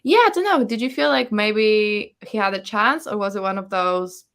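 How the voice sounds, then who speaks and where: A woman's voice: mid-range voice, moderate pace, a bit bright. Woman hosting a casual book chat. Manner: chatty, hedging, often voices imagined reactions. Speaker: host of a book discussion livestream. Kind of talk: casual chat, reading out viewer comments. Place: online livestream call.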